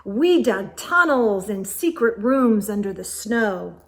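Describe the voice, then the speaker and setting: A woman reading a picture book aloud to children, expressively, with gasps and hushed asides. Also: mid-range voice, lively intonation, slow pace. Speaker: children's read-aloud storyteller.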